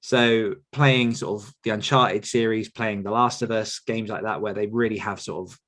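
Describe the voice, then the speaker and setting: A man's voice: fairly low pitch, moderate pace. A man, hosting a podcast and answering listener questions in casual, rambling chat. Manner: relaxed, rambling with fillers and restarts, laughs often.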